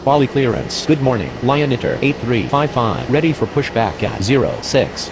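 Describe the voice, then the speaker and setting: Casting: man as male pilot and controller, game radio calls, gravelly, procedural, clear noise behind. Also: mid-range voice, lively intonation, normal speed, natural voice. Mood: courteous, procedural. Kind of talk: scripted aviation radio phraseology.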